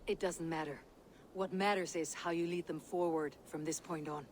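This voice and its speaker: An actor playing a steadfast, loyal Viking warrior in a game cutscene, plain-spoken and resolute. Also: male voice, on the high side, steady tone, normal speed, slight background noise, lightly acted. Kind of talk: dramatic game cutscene acting.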